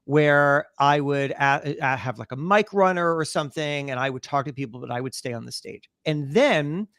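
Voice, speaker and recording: A man speaking as an interview guest, telling stories conversationally and acting out bits of dialogue in an animated way. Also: a little high, normal speed, smooth, no echo, clean studio sound.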